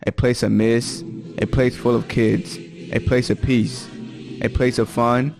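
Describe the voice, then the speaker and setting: A young man from Tanzania reciting a spoken word piece in a measured, earnest way. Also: medium pitch, little pitch movement, gravelly, very echoey, far from the mic. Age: young adult. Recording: music playing underneath.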